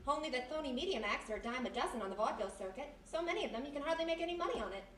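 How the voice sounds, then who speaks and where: Woman playing a brassy, fast-talking vaudeville dame, high-voiced. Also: animated, a bit bright. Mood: skeptical, wry. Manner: sassy, slangy, snappy delivery. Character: brassy, wisecracking young female former vaudevillian sidekick. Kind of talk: 1940s radio mystery acting, live on stage. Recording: echoey live stage, distant, faint noise.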